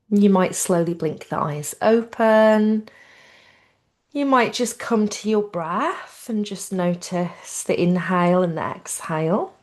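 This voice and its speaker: A woman teaching yoga nidra, giving gentle guided relaxation in a soothing, unhurried and informal way. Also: lowish voice.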